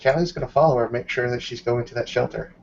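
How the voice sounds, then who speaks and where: A man's voice: medium pitch, steady tone, quick pace, creaky. A man improvising narration and character lines in a roleplaying game, recorded on muffled audio. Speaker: tabletop roleplaying participant. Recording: muffled lo-fi audio, background noise.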